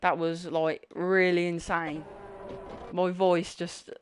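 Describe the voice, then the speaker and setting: A man commentating a sim racing league with excitement, his voice strained and fading. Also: slow pace, slight background noise. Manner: excitable, voice climbing high at big moments. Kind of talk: excited live race commentary. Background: music.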